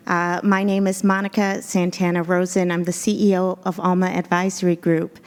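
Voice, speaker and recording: A woman whose first language is Spanish, giving a measured, earnest presentation to a school board as an executive search consultant. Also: lowish voice, flat delivery, smooth. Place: board meeting room.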